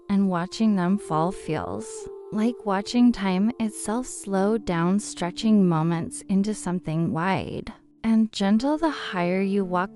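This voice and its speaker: Calm woman narrating over clear background music. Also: medium pitch. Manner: calm, soothing, unhurried. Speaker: sleep story narrator. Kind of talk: guided meditation sleep story narration.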